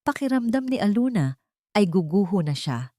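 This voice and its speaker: A woman's voice: mid-range voice, very animated, moderate pace, warm tone, no room sound, studio quality. A woman narrating a scripted romantic drama as an audio storyteller.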